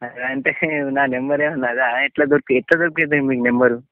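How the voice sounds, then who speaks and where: Fast-talking Telangana man on a tinny phone line. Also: mid-range voice, fairly even intonation, no room sound. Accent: Telangana Telugu. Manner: blunt, questioning, wary. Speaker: friend receiving a prank phone call. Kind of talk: casual phone chat. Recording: tinny, dry, band-limited phone line.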